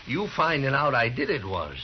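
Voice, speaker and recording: A man's voice: medium pitch, big pitch swings, moderate pace, a little hiss or hum, natural voice. An actor playing a hot-headed villainous gunman in an old radio western, with belligerent, rustic speech. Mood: rattled, scheming. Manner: rustic drawling grammar, belligerent. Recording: muffled old radio drama recording.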